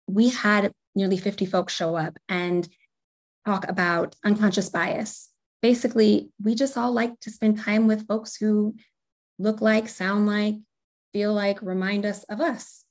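A woman speaking casually as a podcast guest, earnest and encouraging in her answers. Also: mid-range voice. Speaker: podcast guest, corporate strategy director.

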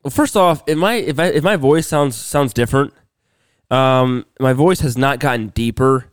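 A man's voice: mid-range voice, moderate pace, smooth, no room sound, clean studio sound. A man on a podcast, sick with a sore throat, telling a long story in a rambling way with lots of restarts. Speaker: podcast co-host. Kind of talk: casual chat.

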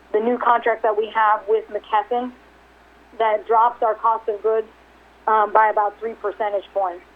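A woman executive walks through quarterly figures on a conference call, in a somewhat high voice. She stays businesslike but often restarts and repeats words. The sound is narrow and tinny, like a phone line. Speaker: company executive. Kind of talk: earnings conference call presentation. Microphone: telephone.

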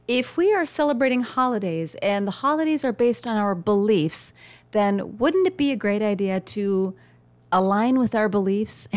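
A woman hosting her own podcast, speaking in an earnest, encouraging solo monologue, her voice a little weak and nasal. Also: medium pitch. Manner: earnest, warm encouragement with repeated phrases. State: slightly weak, nasal voice from illness. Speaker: podcast host.

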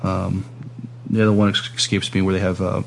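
A man hosting a casual podcast interview, with hesitant, halting phrasing. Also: low-pitched, flat delivery, gravelly. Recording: noticeable background noise.

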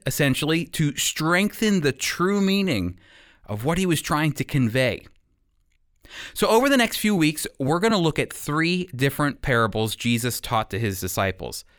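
An American man with a fairly high, very lively voice teaches as a radio Bible host. His delivery is deliberate and emphatic, like prepared storytelling. He is close on the mic in a dry studio. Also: dry recording. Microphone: close studio microphone.

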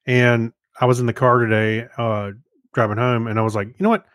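Male co-host, informal chat, rambling and hesitant, medium pitch, dry close recording. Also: level tone, fairly quick, a bit bright, no echo. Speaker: podcast co-host. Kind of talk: casual chat, informal reviews.

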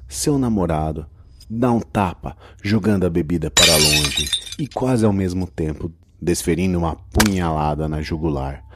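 A man with a Brazilian accent and a husky voice, narrating a scripted horror audio drama. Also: rumbling low, background noise.

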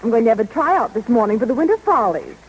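An actress playing a vain, huffy aspiring singer in a 1930s radio comedy, affected and snippy. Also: female voice, a little high, sing-song, fast talker. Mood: preening. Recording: noisy 1930s radio broadcast.

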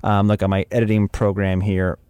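A man's voice: fairly low pitch, level tone, brisk, a little mellow, smooth, dry recording. A man giving informal, chatty instruction as an audio drama producer, with wry humour.

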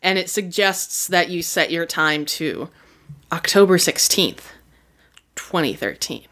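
A woman with a lowish voice, narrating a tabletop game as game master. She talks casually, hesitating with fillers and correcting herself as she goes. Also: animated, takes it slowly, fairly bright tone.

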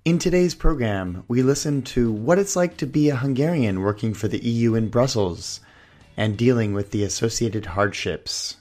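A man hosting a politics podcast, reading a scripted intro with a hoarse voice, then interviewing a guest. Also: mid-range voice, normal speed, a little mellow, soft background music. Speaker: podcast host.

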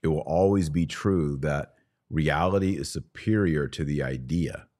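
Very deep-voiced man, emphatic commentator. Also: measured pace, darkish tone. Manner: emphatic, argumentative, poses rhetorical questions. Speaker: podcast host. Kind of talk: conversational religious commentary with read-out quotations.

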